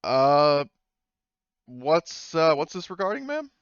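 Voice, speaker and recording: Casting: game master playing obliging male front-desk officer, halting, deferential, smooth tone, dull mic. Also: on the high side, sing-song, dry recording, voice pushed up. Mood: polite. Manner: hesitant, halting. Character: polite, obliging male station security receptionist.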